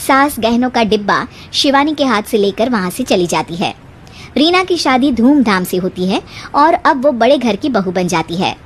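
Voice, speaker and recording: A woman's voice: on the high side, animated, normal speed, a little hiss or hum. A woman storyteller reading a scripted moral story.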